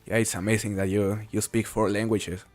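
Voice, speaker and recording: A man's voice: fairly low pitch, fairly quick, soft background music. A man from Mexico hosting a casual game live stream, chatting with viewers while he plays. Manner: relaxed, chatty, answers viewers while playing. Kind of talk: casual live-stream commentary, reading chat.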